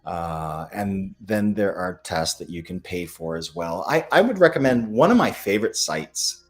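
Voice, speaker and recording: An American man from the Midwest giving informal question-and-answer health advice as a doctor, in a halting way with pauses between phrases. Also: deep voice.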